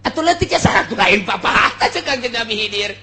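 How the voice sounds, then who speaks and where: A man's voice: high voice, animated, fast, bright, forceful tone. A man with a Sundanese accent giving an informal Islamic sermon, speaking in a colloquial, earthy way and repeating phrases for emphasis. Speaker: Islamic preacher and teacher. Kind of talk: informal sermon with Arabic recitation.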